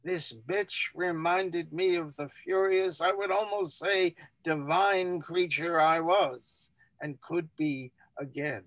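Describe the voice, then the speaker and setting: A man giving a dramatic, measured reading of a literary text. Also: on the high side, fairly bright tone, no room sound, low-quality recording.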